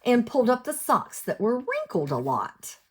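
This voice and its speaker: An American woman reading a storybook aloud to young children as a teacher would, in an expressive sing-song way, asking them questions. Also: on the high side, normal speed, breathy edge. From United States, lived in West Virginia.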